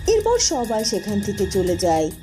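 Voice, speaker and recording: A woman's voice: mid-range voice, huge pitch swings, normal speed, mellow, faint music behind. A woman narrating a scripted fairy tale as storyteller.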